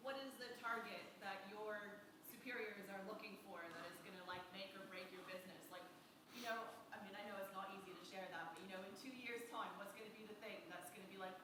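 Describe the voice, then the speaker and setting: A woman in a conference audience asking a question, self-assured and chatty, with a loud voice. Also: mid-range voice, steady tone, brisk. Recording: distant, echoey hall with noise.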